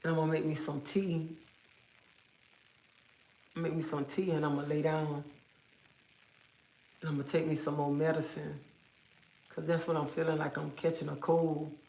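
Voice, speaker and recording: A woman's voice: deep voice, flat delivery, normal speed, creaky, pauses often, dark tone. A woman hosting a casual livestream from home, feeling sick as if coming down with a cold, rambling and repeating herself. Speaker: livestream host. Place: at home, indoors. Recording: echoey room.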